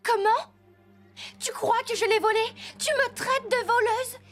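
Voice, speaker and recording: An indignant cartoon girl with a very high voice. She speaks emphatically and defensively, protesting as she goes, with clear music playing underneath. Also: fairly even intonation, measured pace. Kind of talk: dubbed animated series acting.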